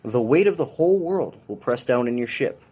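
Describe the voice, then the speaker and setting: A man's voice: medium pitch, expressive, brisk, mellow, highs cut off, slight background noise. A man, a police officer, reading a children's science picture book aloud in an instructional way with brief dry asides.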